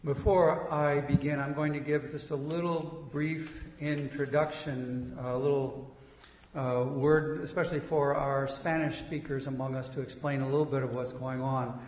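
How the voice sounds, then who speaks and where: A man with a Minnesotan accent, a pastor giving a relaxed, hesitant introduction in church. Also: mid-range voice, moderate pace, a little hiss or hum. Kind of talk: church announcement. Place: church sanctuary. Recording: echoey hall, dull lo-fi sound.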